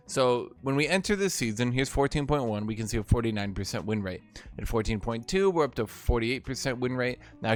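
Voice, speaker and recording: A man with a slightly hoarse voice delivers a salty, sarcastic gaming rant. His pitch sits in an ordinary middle range, and soft music plays faintly behind him. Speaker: gaming video host. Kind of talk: ranting game commentary.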